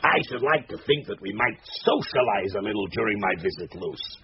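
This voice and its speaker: An actor playing a smooth-talking, faintly menacing wise guy in a radio drama, with a slangy drawl. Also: on the low side, lively intonation, normal speed, close to an everyday voice. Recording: dull lo-fi radio with faint noise.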